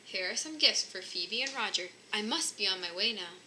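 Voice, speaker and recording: Woman playing a jolly, bright-voiced old Santa Claus. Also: medium pitch, animated, moderate pace, a little hiss or hum, low-quality recording. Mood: merry. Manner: hearty ho-ho-ho, courteous pleading.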